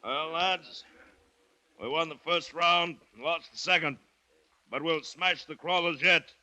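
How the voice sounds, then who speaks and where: A man's voice: a little high, unhurried, pushed and bright. A man acting a defiant plantation owner in a 1940s radio drama, commanding and clipped in his delivery. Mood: grim resolve. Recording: noisy restored 1940s radio recording.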